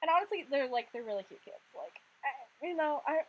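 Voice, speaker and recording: A young woman in her twenties, slightly hoarse from losing her voice, chatting casually over gameplay in a rambling, filler-heavy way. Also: sits high, expressive, brisk, phone-quality sound. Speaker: Sims content creator.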